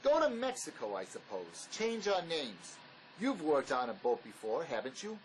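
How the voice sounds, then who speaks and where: A man's voice: fairly high pitch, wildly swooping pitch, moderate pace, poor audio. A man acts a pragmatic fugitive ex-convict in an audio drama, with widely swinging intonation. He is terse, bossy and dismissive. Faint noise sits behind the voice. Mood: persuasive.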